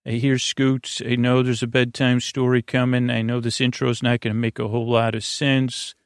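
A man hosting a sleep podcast with a rambling, halting bedtime monologue, soothing and creaky, wandering off into self-deprecating tangents. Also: medium pitch, completely flat delivery, dry recording, studio quality. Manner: soothing, lulling. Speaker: podcast host.

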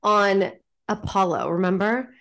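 A woman's voice: medium pitch, fairly even intonation, a little slow, fairly bright tone. A woman in her forties, an attorney guest on a podcast, sounding a little congested and chatting in a self-deprecating way with frequent laughs. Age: 40s. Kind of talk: casual podcast interview.

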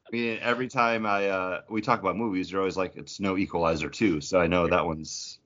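A man's voice: low voice, steady tone, a little fast, fairly bright tone, lo-fi sound. A man co-hosting a podcast, chatting casually about geek culture in a joking, teasing way. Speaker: podcast co-host.

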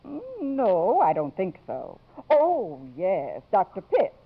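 An actress playing a prim, polite, obliging student advisor in a 1950s radio drama. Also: female voice, medium pitch, huge pitch swings, slow pace, gravelly, breathy edge, heavily acted. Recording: tinny, narrow vintage radio broadcast.

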